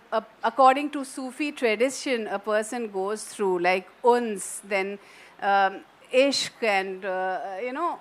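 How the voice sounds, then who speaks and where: Author on a festival panel: woman with North Indian English accent, fairly high voice, thoughtful and digressive, lots of restarts. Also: a bit bright. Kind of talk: literary festival panel talk. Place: festival stage.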